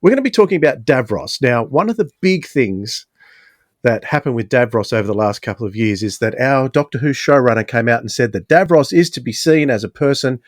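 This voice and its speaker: A man's voice: mid-range voice, lively intonation, brisk, no echo, clean studio sound. A man hosting a podcast in a casual, chatty style. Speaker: podcast host.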